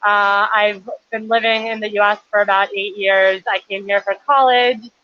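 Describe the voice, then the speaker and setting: Bright-voiced young woman from Chile. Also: medium pitch, flat delivery, normal speed. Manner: friendly and articulate, with light fillers. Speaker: nonprofit intern. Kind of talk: casual interview answers. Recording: lo-fi livestream audio.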